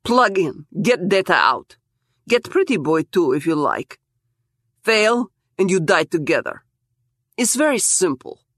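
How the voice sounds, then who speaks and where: A brutish gangster boss with a heavy accent, as a woman narrator puts him on, speaking in clipped, broken phrases full of menace. His voice sits low and steady, recorded close and dry. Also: measured pace, no room sound. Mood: menacing. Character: brutish heavily accented male gangster employer.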